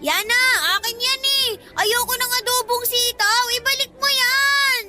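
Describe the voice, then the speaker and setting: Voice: very high voice, fairly even intonation, unhurried, sharp tone, exaggerated voice. An actor playing a whiny, protesting young boy in a radio drama. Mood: upset, whining. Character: young boy whining over shared food.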